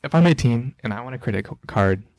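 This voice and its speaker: A man's voice: medium pitch, lively intonation, fast talker, darkish tone, dry recording. A teenage young man from Illinois co-hosting a casual chat, asking playful, teasing questions. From Illinois, USA. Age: teens. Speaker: co-host.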